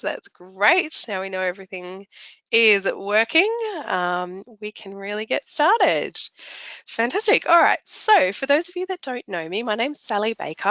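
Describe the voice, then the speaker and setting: A middle-aged woman with a slightly croaky, raspy voice, presenting a product launch webinar in a chatty, upbeat way with frequent ums. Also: mid-range voice, sharp tone, no echo. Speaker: webinar presenter, naturopath trainer. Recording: dull lo-fi webinar audio.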